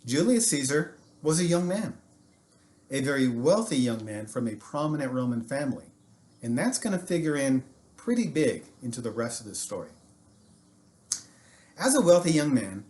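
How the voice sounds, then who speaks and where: An American man, a teacher, tells a history story to students in an animated, casual way with jokey asides. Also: medium pitch.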